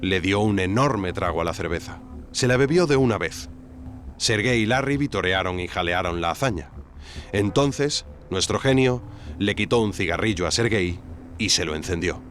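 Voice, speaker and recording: A man's voice: deep voice, lively intonation, moderate pace, fairly bright tone, a little hiss or hum. A man with an accent from Spain giving scripted, dramatic documentary narration. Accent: Spanish (Spain).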